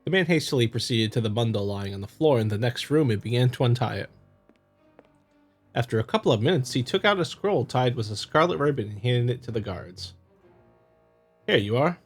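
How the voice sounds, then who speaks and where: A man hosting a visual novel playthrough, reading narration and commenting casually in a halting, stumbling way. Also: mid-range voice, animated. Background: music.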